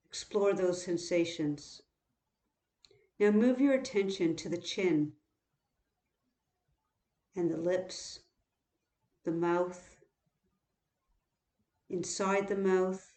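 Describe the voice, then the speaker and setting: Slow-paced woman guiding meditation, roomy sound. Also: lowish voice. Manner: calm, unhurried, instructional, with long pauses. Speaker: meditation teacher. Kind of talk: guided body scan meditation.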